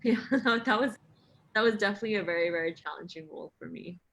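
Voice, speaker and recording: A woman speaking as an interview guest, her voice bright and a touch low. She chats easily and laughs at herself. She circles back often with ums and restarts. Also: fast talker, vocal fry. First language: not Thai. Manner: chatty, self-deprecating, many fillers and restarts. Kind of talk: casual interview chat. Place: in Thailand.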